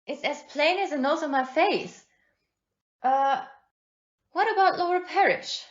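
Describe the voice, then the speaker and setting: An amateur actress in an audio play playing an excitable, plucky American female mystery fan who talks in breezy, slangy exclamations. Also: high voice, a little slow, dull audio. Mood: amazed.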